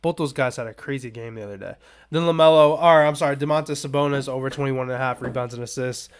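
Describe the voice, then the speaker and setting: A man hosting a sports betting podcast in a loose, rambling, casual way, his voice a little raspy and strained as he is under the weather. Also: mid-range voice, fast talker, a little hiss or hum. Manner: rambling, loose, filler-heavy asides. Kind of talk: casual unscripted betting picks talk.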